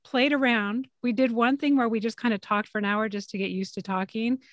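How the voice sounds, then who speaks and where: A woman's voice: a little high, fairly even intonation, a little fast, no room sound, lo-fi sound. A woman co-hosting a podcast, chatting casually and putting questions to a guest. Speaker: podcast co-host, interviewer. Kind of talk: casual chat.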